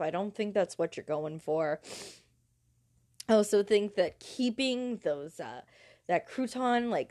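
A woman's voice: mid-range voice, no echo, studio quality. A woman with a head cold, hosting her own podcast in a casual, rambling chat with lots of fillers and restarts. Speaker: podcast host.

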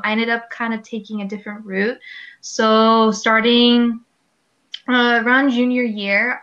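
Young woman, casual podcast co-host, lively chatter, dull lo-fi sound. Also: mid-range voice. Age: 20s. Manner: animated, laughs often. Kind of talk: casual chat. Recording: slightly dull lo-fi recording.

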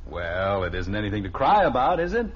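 An actor playing a middle-aged American father, calm, wry and fond, reassuring and gently in charge, in an old radio family comedy. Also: fairly low pitch, a little fast, a little hiss or hum. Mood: tender. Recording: dull old radio recording.

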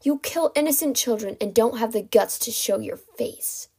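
A woman's voice: on the high side, moderate pace, mellow, slightly rough. A woman voicing a frightened but defiant young girl held captive, pleading and protesting, in a story reading. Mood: angry, defiant.

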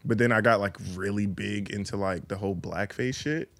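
A man's voice: fairly low pitch, a little fast, a bit bright, no echo. A young man, a guest rapper, chatting on a podcast in a blunt, joking and profane way. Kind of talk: casual podcast chat.

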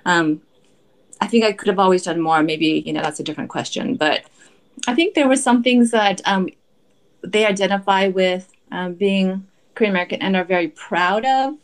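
A woman in her forties speaks in a relaxed, reflective way and often hesitates with soft ums. Her voice sits at an ordinary pitch. She sounds like a mother sharing family experiences in an easygoing conversation. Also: normal speed. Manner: thoughtful, hedging with frequent ums. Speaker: interview guest, mother. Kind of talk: casual conversational interview.

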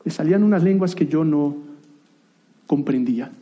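A man's voice: fairly high pitch, normal speed, dull audio. A man in his thirties, a native Portuguese speaker, preaching a sermon in a conversational way with light self-deprecating asides and frequent restarts. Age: 30s.

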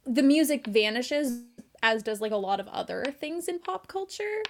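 A woman's voice: on the high side, sing-song, moderate pace. A woman in her twenties co-hosting a podcast in casual chat, talking with many "like" fillers and small laughs. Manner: chatty, many "like" fillers, giggly. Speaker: podcast co-host.

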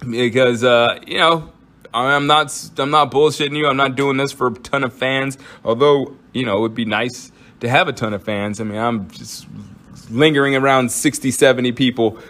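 A man's voice: medium pitch, steady tone, normal speed, a bit bright. A man hosting his own podcast, chatting casually and rambling with lots of fillers and jokes. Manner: rambling, joking, frequent fillers and restarts. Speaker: podcast host. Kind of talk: casual solo podcast chat.

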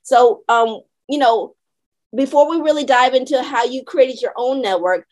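A woman's voice: high-pitched, moderate pace, darkish tone, rough recording. A woman hosting an interview show, upbeat and welcoming toward her guest. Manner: upbeat, welcoming, uses fillers. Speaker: podcast host.

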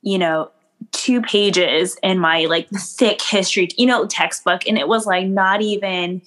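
A woman's voice: medium pitch, measured pace, a bit bright. A woman from the United States in casual conversation, chatty and full of fillers, laughing often.

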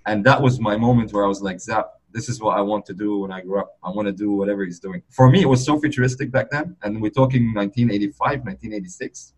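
Husky-voiced man from Lebanon, easygoing. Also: lowish voice, quick pace, few pauses, poor audio. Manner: easygoing, rambling, frequent "like" and "you know". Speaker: guest DJ. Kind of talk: relaxed conversational interview.

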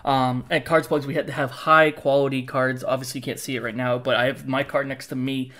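A man's voice: medium pitch, level tone, fairly quick, fairly bright tone. A young man hosting a podcast, chatting casually with lots of fillers and reading a sponsor plug. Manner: easygoing, filler-heavy. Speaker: podcast host.

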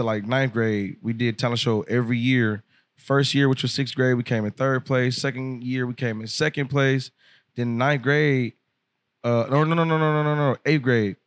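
A man in his twenties, a rapper telling stories on a podcast in a loose, profane, animated way, laughing often. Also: medium pitch, a little slow, no echo. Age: 20s. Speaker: rapper guest. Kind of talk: casual podcast storytelling. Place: podcast studio.

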